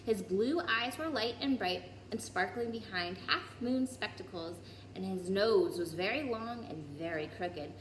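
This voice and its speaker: A woman reading a children's story aloud in an expressive way, with occasional small stumbles over the text. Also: mid-range voice, measured pace. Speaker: story reader. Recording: roomy echo, clear background noise.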